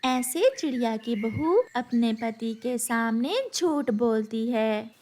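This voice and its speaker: A woman's voice: a little high, slow, a little mellow, slight background noise. A woman reading scripted narration for a children's cartoon story.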